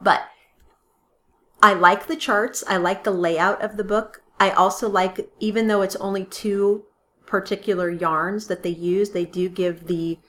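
A woman's voice: medium pitch, steady tone, a little slow, sharp tone. A woman co-hosting a casual podcast, chatting about a knitting book, often restarting her sentences and laughing at her own slips. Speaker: podcast co-host. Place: home recording with a kitten nearby.

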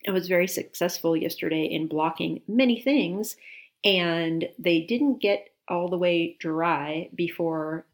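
Woman, low gravelly voice, chatty knitting podcast co-host, enthusiastic, sniffly. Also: unhurried. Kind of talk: casual chat about knitting.

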